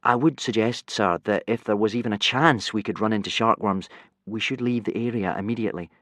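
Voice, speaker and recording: A man's voice: lowish voice, a little fast, a bit bright, no echo, studio quality, lightly acted. Man doing a polite studious Viking boy. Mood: earnest. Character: ordinary thoughtful Viking boy hero.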